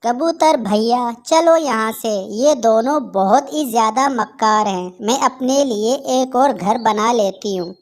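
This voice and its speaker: A high-pitched female bird from a children's moral-story cartoon, acted by a woman. She speaks as a talking storybook character in a cartoon dub. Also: measured pace, few pauses, darkish tone, natural voice. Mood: earnest. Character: female birds: gentle little sparrow and scheming crow.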